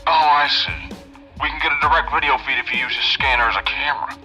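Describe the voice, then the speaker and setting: A man acting an anxious, very sharp-voiced teammate. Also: medium pitch, steady tone, fast talker, soft background music. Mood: nervous, cautious. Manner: tense, urgent warnings and questions. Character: anxious, watchful teammate in a sci-fi escape. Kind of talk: sci-fi audio drama acting.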